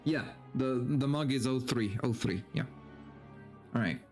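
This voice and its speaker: A man's voice: medium pitch, measured pace, a little mellow, faint music behind. A man streaming a dramatic story reading, with theatrical narration and casual, mock-exasperated asides.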